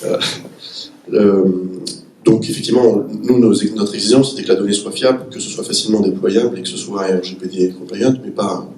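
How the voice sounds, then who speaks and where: A low, flat-voiced man with a cold explains technical details with many restarts. He sits on a panel in an echoey room, picked up from some distance. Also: fairly quick, slight background noise. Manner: explanatory, technical, self-correcting. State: has a cold. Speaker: panelist, startup executive. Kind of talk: round-table discussion. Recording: echoey room, far from the mic.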